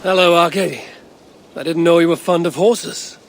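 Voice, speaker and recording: A steady viking leader for a game, rough creaky voice a bit on the high side, resolute. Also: male voice, measured pace, a little hiss or hum. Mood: teasing. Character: proud, steady Norse warrior leader, adult man. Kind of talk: dramatic video game acting.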